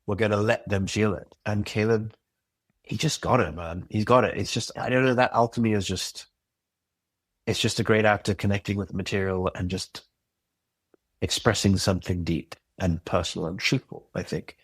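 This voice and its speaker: A man's voice: fairly low pitch, steady tone, moderate pace, breathy edge, no room sound. A man who creates and directs audio drama, speaking as a guest in a casual interview, earnest, reflective and passionate.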